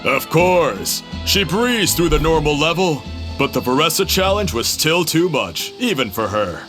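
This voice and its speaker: A man's voice: on the high side, big pitch swings, a little slow, over music. An actor playing a friendly uncle innkeeper who hosts an eating contest, chatty and chuckling as he explains. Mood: cheerful. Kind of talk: game cutscene voice acting.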